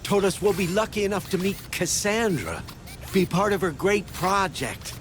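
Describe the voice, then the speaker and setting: An actor playing a shaken, uneasy civilian prisoner in a sci-fi game. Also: male voice, sits high, animated, normal speed, background noise.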